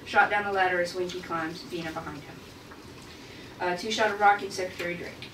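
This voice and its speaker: A woman's voice: on the low side, fairly even intonation, a little fast. A woman reading out screenplay stage directions as narrator at a table read. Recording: echoey room, distant mic, clear noise.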